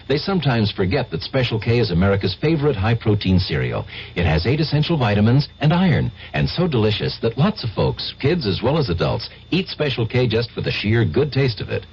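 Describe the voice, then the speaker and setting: A man's voice: big pitch swings, a little fast, hardly any pauses. A man reading a radio commercial in a friendly, conversational style. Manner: friendly, conversational sales pitch. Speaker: commercial announcer. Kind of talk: scripted radio advertisement. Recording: dull vintage radio broadcast, faint hiss.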